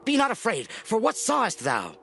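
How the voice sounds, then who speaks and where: A man's voice: high voice, wildly swooping pitch, normal speed, creaky, a bit bright, slight background noise, full character voice. A man playing a distressed king in a scripture drama, pleading solemnly and emphatically in archaic English. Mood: anxious. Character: desperate, distressed king of Israel pleading for guidance. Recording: music under the voice.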